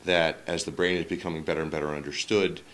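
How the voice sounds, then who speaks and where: Middle-aged guy, really low voice, wry public comment at a podium mic. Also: level tone, fast talker, a bit bright, slight background noise. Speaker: resident giving public comment. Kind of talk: public comment at a school board meeting. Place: large meeting room. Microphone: podium microphone.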